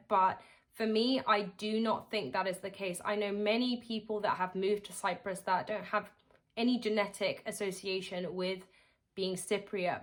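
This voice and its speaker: A woman giving a confident, opinionated interview answer. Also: medium pitch.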